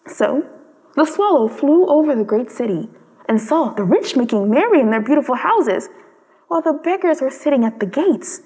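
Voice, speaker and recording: A woman's voice: high-pitched, lively intonation, normal speed, mellow. A woman reading a children's story aloud as scripted narration. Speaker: storybook reader. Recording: long hall-like reverb.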